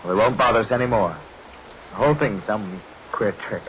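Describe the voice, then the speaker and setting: An actor playing a stubborn, skeptical husband in a vintage radio horror play, blustering and dismissive. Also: male voice, lowish voice, brisk, fairly bright tone, close to an everyday voice. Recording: noisy old radio recording, rain sounds. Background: rain.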